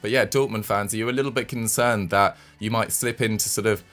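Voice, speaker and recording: Male co-host, nasal from a cold, quick-fire delivery, lowish voice. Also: fairly even intonation, fairly bright tone. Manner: rapid, eager run-on talk with quick restarts. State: has a cold. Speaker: football show co-host. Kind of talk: casual football discussion.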